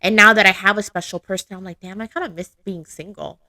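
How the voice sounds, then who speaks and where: Woman, late 20s, podcast guest, chatty and giggly, bright voice, dry sound. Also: mid-range voice, fairly even intonation, quick pace, no echo. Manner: chatty, says "like" a lot, giggly. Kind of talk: casual chat.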